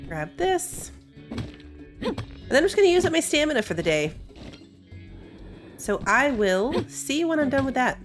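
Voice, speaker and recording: A woman gives casual gameplay commentary in a mid-pitched, very expressive voice. She is chatty and thinks aloud, with faint music in the background. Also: moderate pace, pauses often. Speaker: gaming video host. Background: faint game music.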